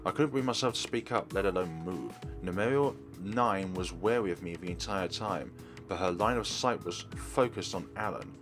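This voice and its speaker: Low-voiced man reading a game story. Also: normal speed. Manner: expressive reading, acts out dialogue. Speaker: let's player reading a visual novel aloud. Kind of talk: narration read from on-screen text. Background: game music.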